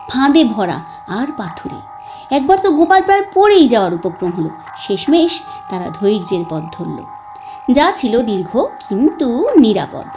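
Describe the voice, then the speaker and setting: A woman storyteller reads a moral tale with a lively, swinging intonation and a fairly high voice. Her delivery is expressive and clearly scripted, and faint music plays underneath.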